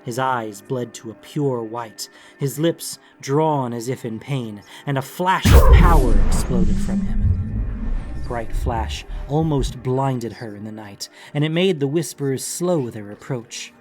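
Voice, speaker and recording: A man's voice: medium pitch, a little slow, a little mellow. A man reading a scripted fantasy story as an audiobook narrator, in a dramatic storytelling style. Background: background music.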